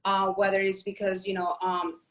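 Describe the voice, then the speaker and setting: A woman's voice: medium pitch, monotone, normal speed. A woman reporter from Colombia, raised in Miami, talking in a friendly, chatty way over a phone on a video call. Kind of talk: casual talk.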